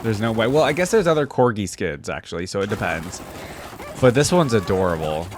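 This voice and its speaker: A man's voice: on the low side, sing-song, a little fast, noisy background. A man giving casual, enthusiastic reaction commentary as a gaming video host, full of exclamations and slangy phrasing.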